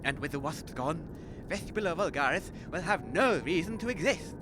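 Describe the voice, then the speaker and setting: An actor playing an eccentric, gallant inventor in an audio comedy drama, grave and theatrical, declaiming dramatically. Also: male voice, a little high, expressive, normal speed, background noise, close to an everyday voice. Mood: grave, urgent.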